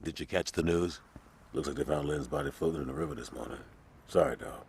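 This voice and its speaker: An actor playing a tough, streetwise gang lieutenant in a video game, blunt, slangy and commanding. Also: male voice, a bass voice, fast, vocal fry, darkish tone, slight background noise. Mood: sombre. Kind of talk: video game cutscene acting.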